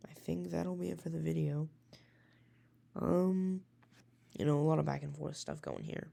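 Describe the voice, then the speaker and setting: A man with a raspy voice, a weather enthusiast casually talking through a forecast with many hesitations and self-corrections. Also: on the high side, moderate pace, warm tone.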